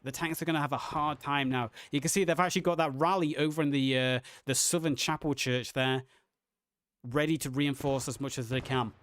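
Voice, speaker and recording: A man casting a live online match, his voice hoarse and worn as he narrates the action with rising excitement. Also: brisk, no echo, studio quality. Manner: excited, builds to high pitch on big plays. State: losing his voice. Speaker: esports caster. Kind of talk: live game commentary.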